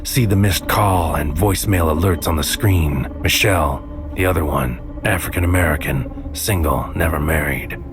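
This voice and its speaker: Deep voiced haunted agent guy, brooding noir poetry narration for an audio drama, music under him. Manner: brooding, deliberate poetic delivery. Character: troubled, haunted male agent narrating his own surreal missions.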